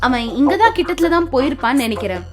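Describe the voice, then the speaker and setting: A man voicing a worried young schoolgirl in a squeaky falsetto in a cartoon, over music. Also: very animated, fast. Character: young girl student Upasana, worried.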